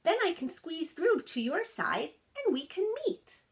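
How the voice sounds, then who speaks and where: A woman's voice: very high-pitched, big pitch swings, unhurried, pitched above the performer's own voice, full character voice. A woman voicing a friendly, eager young male dog from a storybook, with a chirpy, bouncy delivery.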